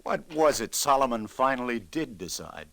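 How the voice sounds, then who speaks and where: An actor playing a streetwise, humane New police detective in old-time radio noir style, colloquial and a little halting. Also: male voice, mid-range voice, animated, loud music behind the voice, natural voice. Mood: wry, uneasy. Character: streetwise, world-weary New York police detective, hesitant yet humane. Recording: dry vintage radio drama recording.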